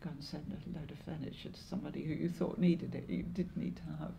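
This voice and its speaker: An older English woman in her seventies or beyond, reminiscing in an interview in a measured, halting way with pauses. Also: low voice, steady tone, vocal fry, noisy background. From Lancashire, northwest England. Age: 70s or older. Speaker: interviewee, longtime community volunteer. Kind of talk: oral history interview, reminiscing.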